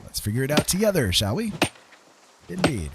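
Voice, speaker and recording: A man's voice: on the high side, very animated, moderate pace, heavy background noise. A man giving casual gameplay commentary, thinking aloud and often tacking little questions onto his sentences. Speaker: game streamer.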